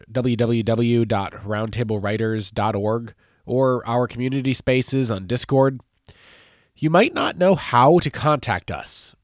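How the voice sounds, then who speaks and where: A man's voice: medium pitch, darkish tone, no room sound, studio quality. A man with a stuffy nose from a cold, hosting a podcast in a friendly, earnest tone. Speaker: podcast host. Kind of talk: casual podcast talk.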